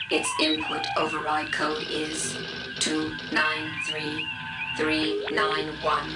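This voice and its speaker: Casting: impassive female ship computer, slow clipped recitation, distant, game sci-fi. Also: low voice. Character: emotionless female starship computer reading data. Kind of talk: science-fiction game voice acting. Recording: echoey, distant, loud music behind.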